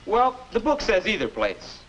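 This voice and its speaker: A man's voice: high-pitched, huge pitch swings, normal speed, a bit bright, exaggerated voice. An American actor in his twenties playing a patient, encouraging older self instructing a boy in 1950s educational film style. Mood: helpful. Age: 20s. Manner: instructive, encouraging, neatly spoken. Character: patient, upbeat 21-year-old older self mentoring a boy. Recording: noisy old 1950s film soundtrack.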